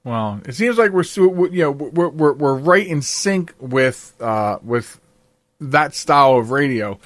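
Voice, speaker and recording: A man's voice: medium pitch, lively intonation, unhurried, no echo. An older man hosting a podcast, chatting casually in an interview. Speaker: podcast host.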